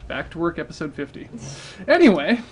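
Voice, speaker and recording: High-pitched man telling casual stories. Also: very animated, a little fast, a little hiss or hum. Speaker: storyteller on a charity livestream. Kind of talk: casual humorous storytelling.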